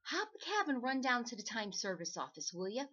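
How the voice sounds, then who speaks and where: Actress as a brisk, high-voiced magazine editor, slightly muffled. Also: female voice, sing-song, sharp tone, exaggerated voice. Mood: eager. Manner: slangy, brisk, bossy. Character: brisk, pushy magazine editor hunting a human-interest story. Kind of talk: old-time radio drama acting.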